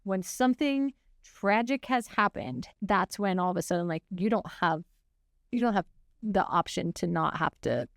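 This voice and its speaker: A woman's voice: medium pitch, lively intonation, normal speed, vocal fry, no room sound, clean studio sound. A woman in her forties co-hosting a podcast, talking in an earnest, reflective way and laughing easily. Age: 40s. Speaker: podcast co-host. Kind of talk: casual conversation.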